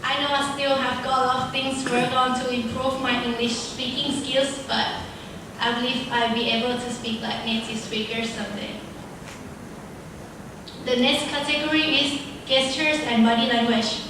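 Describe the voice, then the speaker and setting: A woman's voice: high voice, fairly even intonation, normal speed. A teenage girl with a Thai accent, her first language Thai, giving a careful, formal, recited speech at a student speaking contest. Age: teens. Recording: echoey hall from far off, noisy.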